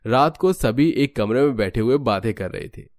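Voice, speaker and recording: A man's voice: mid-range voice, lively intonation, moderate pace, darkish tone, dry recording, clean studio sound. A man reading scripted narration for a cartoon family story.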